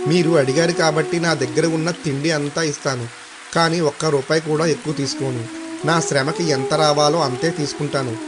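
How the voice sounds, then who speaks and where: An earnest village man voiced by a male actor speaks respectfully in a somewhat high voice. It is animated moral-story dialogue, with prominent music underneath. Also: normal speed, lightly acted. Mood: firm, respectful.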